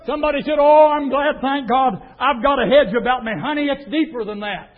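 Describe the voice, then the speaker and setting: A man preaching a fiery sermon, dropping to a low murmur and then rising to a shout. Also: brisk. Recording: tinny phone-like sound.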